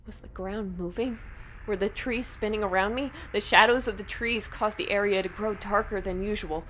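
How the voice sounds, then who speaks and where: A woman reading a first-person horror story in a dramatic, emotive, breathy delivery. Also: medium pitch, normal speed, fairly bright tone, slight background noise.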